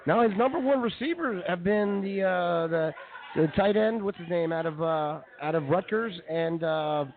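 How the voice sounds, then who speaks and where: A man's voice: sits high, normal speed. A man co-hosting a casual sports talk show, giving opinions in informal, slangy speech. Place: brewery, live remote broadcast. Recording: tinny narrowband live bar broadcast.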